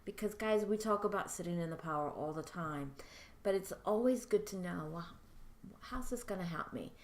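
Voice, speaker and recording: A soft-spoken woman giving a friendly, chatty lesson to camera as a teacher of mediumship. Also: lowish voice, lively intonation, moderate pace, roomy sound. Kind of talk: casual instructional talk to camera.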